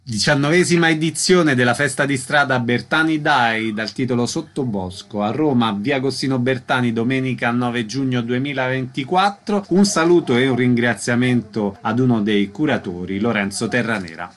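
Italian radio host, brief questions. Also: male voice, medium pitch, normal speed, hardly any pauses, a bit bright.

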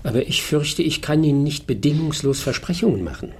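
An actor playing a shrewd, composed private detective in a German radio drama, speaking in a measured, formal way. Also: male voice, fairly high pitch, expressive, darkish tone, breathy edge, exaggerated voice. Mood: guarded, courteous.

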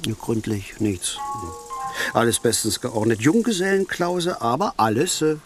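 An actor playing a casual, slightly hesitant police chief inspector in a radio drama. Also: male voice, mid-range voice, sing-song, a little slow, music in the background. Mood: offhand. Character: new male police chief inspector, laid-back. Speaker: police detective.

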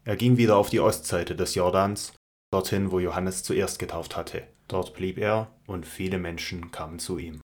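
A male narrator with a distinctly deep voice. He delivers scripted narration for a biblical audio drama. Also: steady tone, normal speed.

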